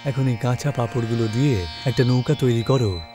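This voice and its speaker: A man playing a solemn, commanding wandering holy man in a magical cartoon, with measured authority. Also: medium pitch, close to an everyday voice. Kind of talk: dubbed cartoon acting. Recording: voice over background music.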